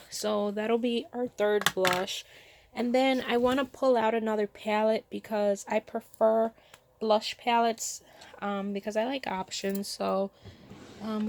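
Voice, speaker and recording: A woman's voice: fairly high pitch, creaky, a little hiss or hum. A woman with a stuffy cold nose, chatting casually and thinking aloud as she sorts her makeup at home. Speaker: beauty vlogger. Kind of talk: casual makeup vlog chat. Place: home room with vanities.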